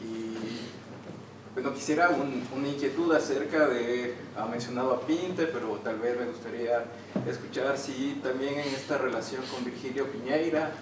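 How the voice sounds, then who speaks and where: A man's voice: mid-range voice, normal speed. A man speaking from the audience, putting a hesitant, roundabout question at a public talk. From Ecuador. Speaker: audience member asking a question. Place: indoor theater venue during a public discussion. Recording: very echoey room, distant mic, clear noise.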